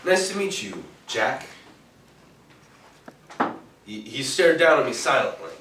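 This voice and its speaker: An actor playing a cool, wry private detective narrating a 1940s-style radio noir on stage, in an echoey room from afar. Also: male voice, medium pitch, sing-song, slow, fairly bright tone. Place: theatre stage, recorded from a distance. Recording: echoey stage, distant mic, faint noise.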